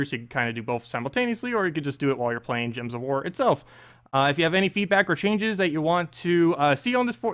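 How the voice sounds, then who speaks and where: A man's voice: on the high side, fairly bright tone, clean tone. A man hosting a channel and reading a story aloud in an amateur, casual way, stumbling now and then. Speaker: channel host and story reader.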